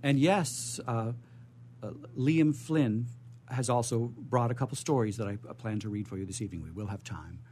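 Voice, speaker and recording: A man's voice: medium pitch, moderate pace, a little mellow, a little hiss or hum. A man hosting a live radio show, rambling playfully off the cuff with theatrical phrasing.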